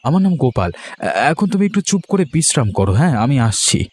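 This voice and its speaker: A man playing a kind, modest young villager in a cartoon, talking in a gentle, hesitant, reassuring way. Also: fairly high pitch, sing-song, fast, husky. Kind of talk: cartoon voice acting.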